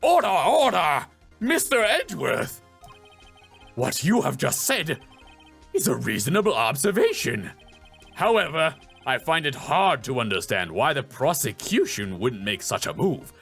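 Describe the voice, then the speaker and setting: A man's voice: fairly high pitch, big pitch swings, unhurried, creaky, a bit bright, heavily acted. A man voicing a stern, pompous older male judge in a video game, formal and authoritative, over music.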